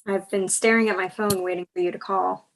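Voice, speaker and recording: A woman's voice: medium pitch, steady tone, brisk, creaky, slight background noise, close to an everyday voice, poor audio. A woman playing a candid, exasperated young girlfriend with a wry manner in a comedy script table read. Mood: apologetic.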